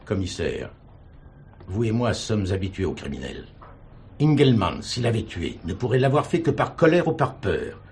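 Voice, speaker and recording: Casting: devout, pleading chaplain, low register, very expressive swings, courteous radio-play delivery, some hiss behind. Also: male voice, moderate pace. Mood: insistent. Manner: courteous, earnest, formal diction. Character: earnest prison chaplain, a devout pleading man. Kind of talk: 1970s French radio drama acting.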